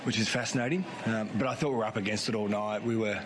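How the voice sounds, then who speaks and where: Hoarse football coach, low-voiced, halting, frank, recorded in a noisy press room. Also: male voice, steady tone. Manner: halting, frank, many fillers and restarts. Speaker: head coach. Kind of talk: post-match press conference answers.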